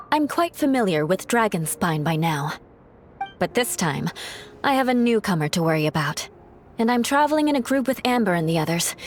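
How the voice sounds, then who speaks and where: A woman's voice: mid-range voice, a little hiss or hum, natural voice. A woman playing a proud, aloof young knight of noble birth in a video game, speaking formally with haughty poise.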